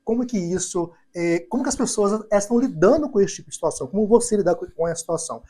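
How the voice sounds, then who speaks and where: Mellow-voiced male psychologist guest. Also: sits high, lively intonation, quick pace. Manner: affirming, storytelling, frequent "né" and "perfeito". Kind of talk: podcast interview chat.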